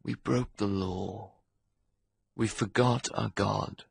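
A man's voice: on the low side, steady tone, slow pace, no echo, pitched below the performer's own voice, exaggerated voice. A man voicing a dying male minister who speaks weakly and serenely. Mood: weak solemn. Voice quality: gravelly, husky, fading. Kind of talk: audiobook character voice.

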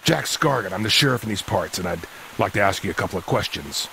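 A gravelly, stern sheriff. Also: male voice, lowish voice, animated, quick pace, sharp tone, a little hiss or hum. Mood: official. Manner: stern, authoritative. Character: stern, threatening small-town sheriff in mystery game. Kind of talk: video game voice acting.

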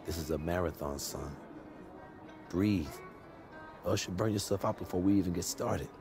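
A middle-aged man playing a calm, wry, mentoring sports agent in a video game cutscene, plain-spoken and assured. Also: low voice, moderate pace, music in the background. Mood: advising.

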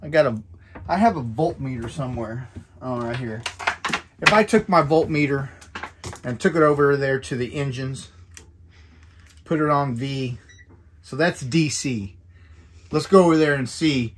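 A man's voice: mid-range voice, a little slow, pauses often, a little hiss or hum. Man rambling through boat chores. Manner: rambling, hands-on explaining with restarts. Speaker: sailing vlogger. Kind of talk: casual how-to narration. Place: sailboat cabin, then open deck outdoors.

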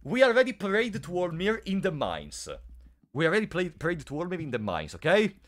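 A man's voice: high voice, very animated, normal speed. A young man with a sore throat, chatting playfully with his live chat while streaming a game. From Italy. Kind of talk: casual live-stream commentary.

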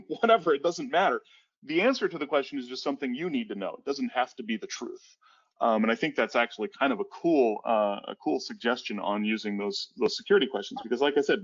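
A man in his thirties presenting a cybersecurity webinar in a casual, rambling way, often restarting his sentences. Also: medium pitch. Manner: rambling with frequent restarts and fillers. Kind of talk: casual conversational webinar talk. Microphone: headset on a video call. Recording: dull lo-fi webinar audio.

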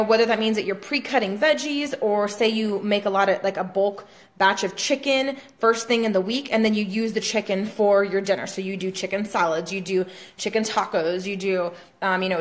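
A woman's voice: mid-range voice, normal speed. A young woman, a fitness coach, chatting casually to viewers in a home kitchen, with plenty of ums, filmed on a phone held at arm's length. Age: young adult. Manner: chatty, many fillers, talks to viewers as guys. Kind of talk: casual vlog tutorial. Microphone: phone camera held at arm's length.

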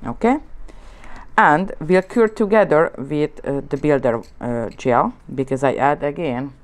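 A woman with a Hungarian accent, a native Hungarian speaker, giving a relaxed nail art tutorial at her studio desk, talking in gentle, coaxing instructions and often checking in with "okay?". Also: deep voice, unhurried. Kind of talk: relaxed tutorial narration while working. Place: indoor nail studio desk, hands on camera from above.

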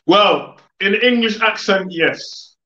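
A young man telling his story as an interview guest, in a casual, reflective way with halting phrasing. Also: high-pitched, pushed and bright. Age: young adult. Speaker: guest, music producer. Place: living room, video call. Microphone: video call. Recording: echoey room, dull video-call audio.

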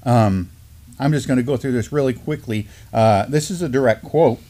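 A man's voice: medium pitch, moderate pace, mellow. A middle-aged man with an American accent co-hosts an informal news discussion, seated at a desk in a small studio. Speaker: co-host of a Native news live stream. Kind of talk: informal news discussion, partly reading articles aloud.

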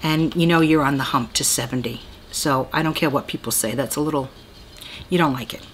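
A woman's voice: very deep voice, fairly quick, slight background noise. A woman, chatting casually and rambling through a beauty tag video at home. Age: 60s. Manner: chatty, rambling, self-deprecating with laughs. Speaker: beauty vlogger. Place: small room at home, indoors.

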